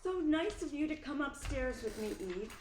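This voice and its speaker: An actress playing a confident, friendly society wife in a radio play, expressive and reassuring. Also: female voice, high-pitched, normal speed, slight background noise. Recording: roomy sound with faint music.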